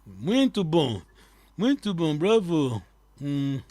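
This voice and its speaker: A male language teacher leading an online class. His voice sits a little high and sounds dry and close to the mic. He is patient, quizzes his students and slows down on each new word. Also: big pitch swings, a little mellow, no echo. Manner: patient, quizzing students, repeating key words slowly. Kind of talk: live online language lesson.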